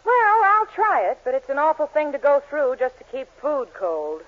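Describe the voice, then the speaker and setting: Brisk housewife, high and very lively voice, aggrieved, muffled old-time radio comedy. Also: female voice, slight background noise. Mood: exasperated. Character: brisk, aggrieved married housewife, scheming reluctantly. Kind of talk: 1940s radio sitcom acting. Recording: muffled 1940s radio recording.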